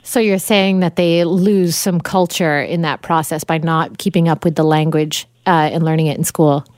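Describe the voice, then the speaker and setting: A woman's voice: low voice, steady tone, moderate pace, darkish tone, dry recording, studio quality. Woman host asking direct questions. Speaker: radio interview host. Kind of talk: radio interview.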